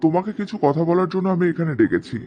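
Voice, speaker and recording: A man's voice: a little high, lively intonation, fast talker, highs cut off, a little hiss or hum, lightly acted. A man playing a proud young rich bridegroom in a cartoon drama, speaking coldly and bluntly. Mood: cold, scornful.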